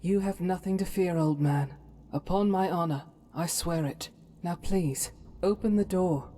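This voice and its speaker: A woman as a low-voiced, devout warrior companion. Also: mellow. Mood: earnest, grave. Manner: formal, measured, earnest. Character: devout, grave female warrior companion, principled and formal. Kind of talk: scripted fantasy game dialogue.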